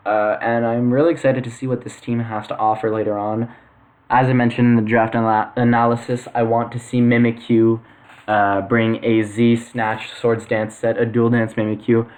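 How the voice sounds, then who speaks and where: A young man giving casual weekly power rankings for a game league, halting with lots of fillers and self-corrections. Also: mid-range voice, darkish tone. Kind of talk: casual commentary.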